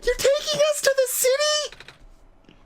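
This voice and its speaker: A woman voicing an excitable small child in a video game, eager and easily alarmed. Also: extremely high pitch, slow, heavy background noise, voice pushed up. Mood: excited.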